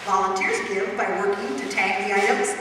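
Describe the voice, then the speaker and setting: Bright-voiced woman announcer in echoey hall. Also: mid-range voice, normal speed, a little hiss or hum. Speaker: sponsor announcer. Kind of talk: scripted advertisement read. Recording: echoey hall recording from far off.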